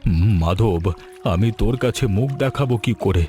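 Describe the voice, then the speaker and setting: A man playing a proud village gentleman and father, humbled and frightened, in a cartoon. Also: lowish voice, moderate pace, faint music behind, natural voice. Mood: humbled, grateful. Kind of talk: cartoon dialogue.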